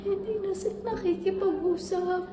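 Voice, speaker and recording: A young woman acting a lonely daughter who feels ignored by her family, speaking slowly and bitterly. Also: very high voice, fairly even intonation. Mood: wistful. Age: young adult. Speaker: student actor. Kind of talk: stage monologue. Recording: echoey room with background music.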